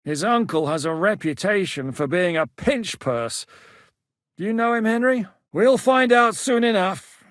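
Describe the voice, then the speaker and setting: An actor voicing a seasoned, commanding male war captain in a video game, blunt and quick to bark orders. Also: high-pitched, expressive, fairly bright tone, no echo, clean studio sound. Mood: calm. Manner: barks battle orders, brash and blunt. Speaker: military commander.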